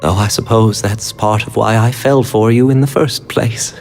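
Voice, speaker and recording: A man voicing a flamboyant, sinister mafia executive in a video game, with theatrical, mocking, drawn-out phrasing. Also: on the low side, steady tone, a little mellow, close to an everyday voice. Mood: rapturous mourning. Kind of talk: dramatic English game dub acting. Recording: music under the dialogue.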